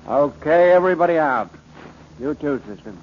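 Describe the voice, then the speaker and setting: A man's voice: a little high, moderate pace, natural voice. Guy acting as bossy american cop, curt n slangy, old radio drama, muffled w some hiss. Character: brusque, bossy policeman taking charge.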